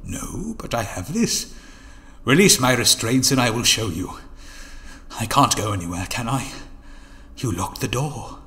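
An actor playing a sly captive tempter in an audio drama, measured, insinuating and ominous. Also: male voice, mid-range voice, lively intonation, fairly bright tone. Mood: sly, calm.

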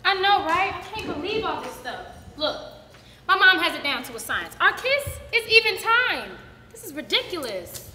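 A woman's voice: very high-pitched, sing-song, moderate pace, sharp tone, full character voice. A young woman acting an exasperated, affectionate bride-to-be in a stage play rehearsal. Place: rehearsal room with stage, indoors. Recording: echoey hall, faint noise.

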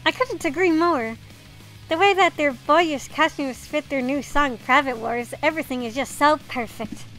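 A woman's voice: high-pitched, normal speed, a bit bright, faint music behind, rough recording. A woman voicing a devoted, earnestly gushing schoolgirl idol fan in an anime visual novel.

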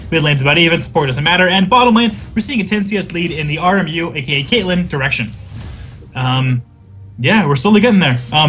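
A young man doing live esports commentary with a husky voice, joking and reading out chat, with lots of ums between thoughts. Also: a little high, fairly bright tone. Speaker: esports caster.

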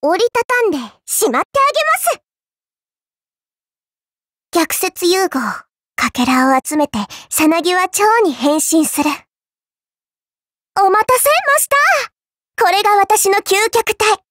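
A woman's voice: extremely high pitch, big pitch swings, slow, long pauses, a bit bright, no echo, studio quality, heavily acted. A woman voicing a mischievous, teasing little girl villainess in a mobile game, coy and polite with playful menace. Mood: determined, confident. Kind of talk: Japanese mobile game character voice lines.

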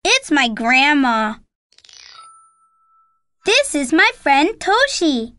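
A woman's voice: sits high, big pitch swings, extremely slow, bright, forceful tone, exaggerated voice. A woman voicing a cheerful young raccoon boy in simple, spaced phrases for an English-teaching children's cartoon. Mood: friendly.

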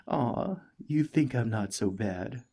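Man playing a husky, gentle, cheerful alien parasite. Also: medium pitch, exaggerated voice. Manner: gentle, chatty, playfully earnest. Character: cheerful, friendly young male alien parasite, eager and reassuring. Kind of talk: ASMR roleplay acting.

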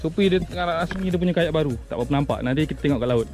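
A man's voice: a little high, very fast, darkish tone, soft background music. A man in his thirties with a Malaysian accent, chatting casually and colloquially to viewers as an outdoor fishing vlogger. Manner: colloquial, addresses viewers directly. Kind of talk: casual outdoor vlog chat. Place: outdoors at a shore and on a kayak at sea.

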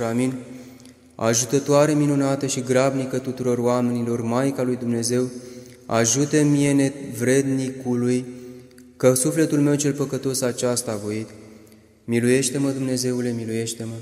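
A man reading liturgical prayers in a solemn, measured cadence, lifting his voice on each plea for mercy. His tone is dark and mellow and held on a narrow, almost level pitch. The sound carries a long, churchlike reverb in a roomy space. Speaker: prayer reader.

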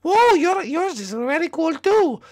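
A man voicing a small, sweet, excitable young fox with a squeaky, naive manner in an amateur comedy skit. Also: unhurried, full character voice. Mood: awed. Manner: squeaky, naive, wide-eyed.

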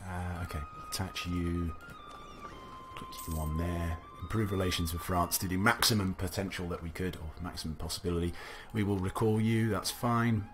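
A young man casually commentating a strategy game, thinking aloud, his voice a little gravelly from a recent cough. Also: low voice, fairly even intonation, moderate pace. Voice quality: slightly gravelly. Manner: musing aloud, chatty, self-talking. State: recovering from a cough, slight gravel. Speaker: let's play commentator. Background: faint game music.

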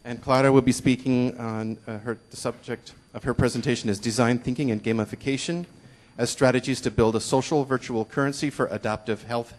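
A man's voice: mid-range voice, steady tone, fairly quick, few pauses, rough recording. A middle-aged man chairing a conference session, introducing the next speaker into a handheld microphone in a conference room. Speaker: session moderator. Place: conference room, seated at a table.

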